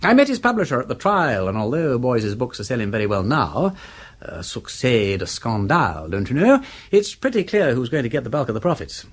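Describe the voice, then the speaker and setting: Aristocratic amateur detective, upper-class English accent, wildly swooping intonation, slightly husky, foppish, gallant, radio drama. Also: male voice, medium pitch, big pitch swings, fairly quick. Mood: breezy, musing. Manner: foppish, chatty, hesitant politeness. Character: breezy, gallant British aristocrat amateur detective, self-deprecating. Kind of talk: British radio drama acting.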